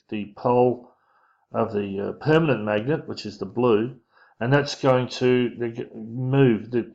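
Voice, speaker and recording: A man giving an informal physics lesson, talking through diagrams in a casual, halting way as he thinks aloud. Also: mid-range voice, darkish tone. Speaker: physics teacher. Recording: dull lo-fi microphone sound.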